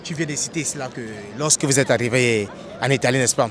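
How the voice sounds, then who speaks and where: A man hosting an outdoor street interview, asking animated questions and often checking with "n'est-ce pas?". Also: mid-range voice, fast, crowd noise. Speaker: host, interviewer.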